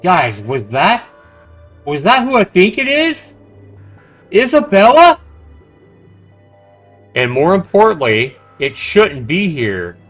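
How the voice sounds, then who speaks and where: A man's voice: sits high, huge pitch swings, takes it slowly, pauses often, fairly bright tone. A man livestreaming a visual novel, reading the text aloud dramatically and reacting expressively as he comments. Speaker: game streamer. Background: game music.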